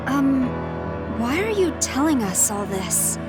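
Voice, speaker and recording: A woman's voice: high voice, big pitch swings, takes it slowly, heavily acted. A young woman playing an earnest, compassionate heroine in a video game, with a questioning manner. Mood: puzzled, earnest. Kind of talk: dramatic video game voice acting. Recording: music behind the voice.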